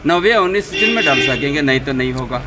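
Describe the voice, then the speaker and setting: A man's voice: medium pitch, animated, quick pace. A man giving unscripted answers in an interview. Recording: crowd chatter around the speaker.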